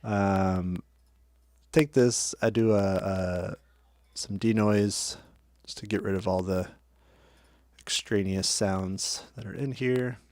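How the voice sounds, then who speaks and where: Low-voiced man explaining his editing. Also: fairly even intonation, slow, a little mellow, dry recording. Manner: chatty, self-correcting, talks while working. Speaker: audio editing tutorial host. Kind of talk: casual screen-share tutorial.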